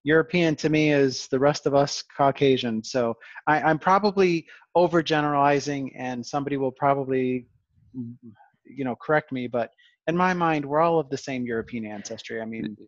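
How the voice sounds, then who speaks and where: A man's voice: mid-range voice, moderate pace, no room sound. A man hosting a podcast in casual conversation, stuttering and restarting his phrases often. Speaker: podcast host. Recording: dull, dry lo-fi sound.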